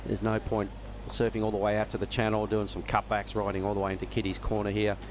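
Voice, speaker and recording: A man giving relaxed, knowledgeable live surf contest commentary from a judging tower. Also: on the low side, fast. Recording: noisy outdoor ambience with traffic.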